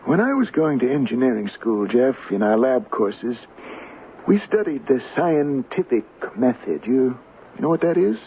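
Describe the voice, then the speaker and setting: Worldly colonel, radio drama. Knowing, patient, gravelly, faintly noisy vintage sound. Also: male voice, mid-range voice, sing-song, moderate pace. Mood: patient, instructive. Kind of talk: old-time radio drama acting. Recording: phone-like, noisy old radio recording.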